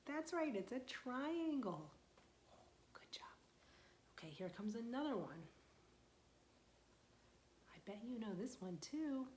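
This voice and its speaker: Sing-song storytime woman, soft whispers. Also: on the high side, sing-song, takes it slowly, pauses often, a little hiss or hum. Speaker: children's librarian. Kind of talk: virtual storytime for young children.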